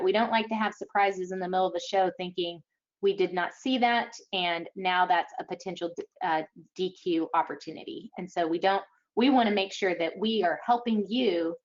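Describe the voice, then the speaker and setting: A woman speaking as a contest official on a webinar, informally answering questions and explaining rules with examples. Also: on the low side. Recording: slightly dull webinar audio.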